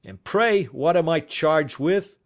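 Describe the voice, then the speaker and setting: A man doing a despairing high-pitched prisoner. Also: expressive. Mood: indignant. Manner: anguished, slow, pleading. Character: despairing male prisoner, proud then broken.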